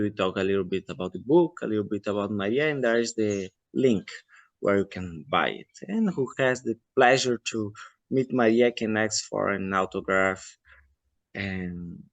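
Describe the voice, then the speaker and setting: A man interviewing an author, speaking slowly and earnestly and addressing the viewers directly. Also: lowish voice, no echo. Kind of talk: interview questions.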